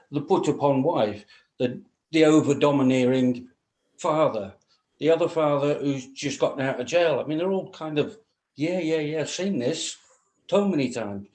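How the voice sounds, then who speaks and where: A man's voice: a little high, a little mellow. Man, blunt, in an echoey room. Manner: blunt, colloquial. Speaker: script reading panellist. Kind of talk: casual panel critique.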